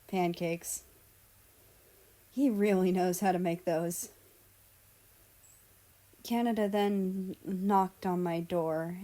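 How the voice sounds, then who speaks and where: A woman's voice: lowish voice, mellow. A woman reading first-person fan fiction aloud as a narrator.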